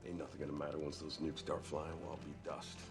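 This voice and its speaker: A cynical, hard-bitten crimefighter in a film drama. His voice is extremely low and full of gravel, mocking in tone, over loud music. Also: little pitch movement, quick pace. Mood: mocking, fatalistic.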